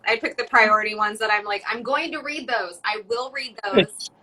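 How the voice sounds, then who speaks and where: A woman hosting a casual interview, chatty and quick to laugh. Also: on the high side, pushed and bright, poor audio. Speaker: podcast host.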